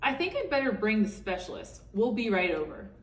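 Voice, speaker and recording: A woman's voice: medium pitch, big pitch swings, brisk, lightly acted. Woman doing a hesitant storybook doctor, echoey room. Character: mumbling male doctor, hesitant and formal. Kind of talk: storybook character voice. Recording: echoey room, distant microphone.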